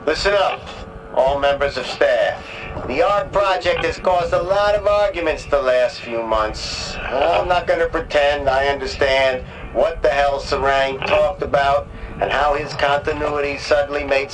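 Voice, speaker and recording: A man's voice: on the high side, fairly bright tone, a little hiss or hum, low-quality recording. A man playing a blunt, exasperated station chief making a staff announcement in a sci-fi video game. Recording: machinery ambience behind voice.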